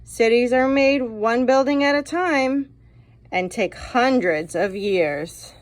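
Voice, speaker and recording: A woman voicing a critical old grandfather in a children's storybook, hemming and measured as he doubts what he is shown. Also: on the high side, no echo, pitched above the performer's own voice.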